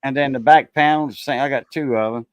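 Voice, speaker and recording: A man's voice: mid-range voice, fast, noisy background. A man about to turn sixty chatting casually on a live stream, laid-back, laughing easily and using many fillers. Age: 50s. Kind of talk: casual chat.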